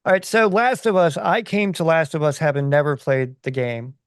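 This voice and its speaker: Gravelly man chatting on a podcast. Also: on the high side, steady tone, normal speed, no room sound, clean studio sound. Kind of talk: casual opinion chat.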